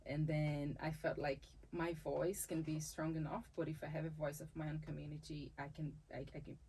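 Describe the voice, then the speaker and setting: A woman's voice: deep voice, level tone, fairly quick, dark tone, slight background noise, rough recording. A woman from Brazil with a Brazilian accent and Portuguese as her first language, a dancer and capoeira teacher talking in an interview, thoughtful, often restarting her phrases and laughing easily. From Salvador, Bahia, Brazil. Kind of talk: conversational interview.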